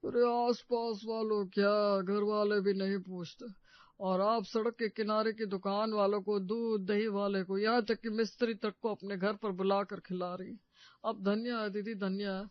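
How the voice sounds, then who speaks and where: A man's voice: high-pitched, flat delivery, moderate pace, dry recording, lo-fi sound, close to an everyday voice. A man playing a husband in a family story, placating and sarcastic in manner.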